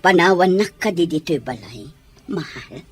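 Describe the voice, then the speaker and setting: A woman playing a sickly, weary, aging mother in an audio drama, with a weak, breathy voice and a pleading manner. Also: lowish voice, lively intonation, normal speed, natural voice. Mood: pained. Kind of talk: Ilocano audio drama acting.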